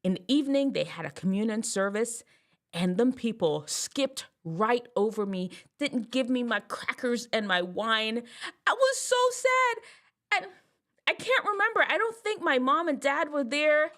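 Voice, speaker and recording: A woman in her thirties from Jamaica talks straight to her viewers in a loose, storytelling way. Her pitch leaps and swoops all over the place when something excites her, and she breaks into laughter at her own jokes. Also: measured pace. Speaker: vlogger. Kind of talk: casual chat to camera.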